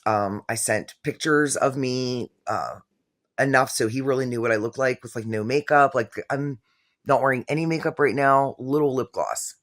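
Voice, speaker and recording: A middle-aged woman with a very low voice tells a personal story to camera in a casual, rambling way, full of fillers and self-corrections. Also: normal speed. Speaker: vlogger telling her own story.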